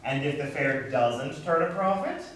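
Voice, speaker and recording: An actor playing a pompous, crooked, bullying town boss in a musical comedy, blustering and browbeating. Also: male voice, a little high, animated, normal speed, fairly bright tone, a little hiss or hum. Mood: sly scheming. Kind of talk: musical comedy stage acting. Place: staged reading before a live audience. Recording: echoey live stage, distant mic, piano.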